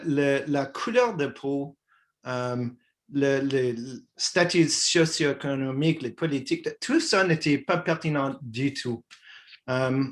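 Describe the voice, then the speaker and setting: A man in his sixties from Quebec, as a guest in a relaxed dialogue, hesitating and restarting his phrases often. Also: medium pitch. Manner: halting, many restarts and fillers. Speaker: guest, peace activist. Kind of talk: conversational dialogue.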